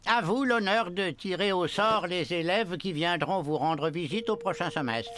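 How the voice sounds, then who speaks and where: Man playing a high-pitched, formal cartoon principal. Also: normal speed, very noisy, close to an everyday voice. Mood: ceremonious. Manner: ceremonious, formal, precise. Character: stern, formal older male ninja school principal. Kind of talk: French cartoon dub.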